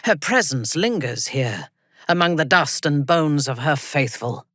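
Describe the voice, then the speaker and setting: An actress playing a haughty, ruthless female dark elf warlord in a video game, imperious and formal, with a breathy, husky voice. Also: deep voice, lively intonation, dry recording, studio quality, full character voice. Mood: disdainful, scheming. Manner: imperious, formal, deliberate, coldly menacing. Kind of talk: video game character dialogue.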